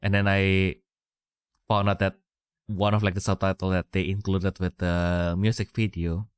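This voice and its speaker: A man from Indonesia rambles through a relaxed podcast chat and leans on plenty of fillers. His voice sits a bit low, and the sound is close and dry, with no room around it. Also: moderate pace, clean tone, dry recording. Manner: rambling, many fillers, frequent laughter. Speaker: podcast co-host. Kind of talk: casual chat.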